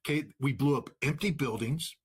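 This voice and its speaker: A man with an American accent giving conversational political commentary, halting and repeating words as he goes. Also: mid-range voice. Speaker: interview guest, military commentator.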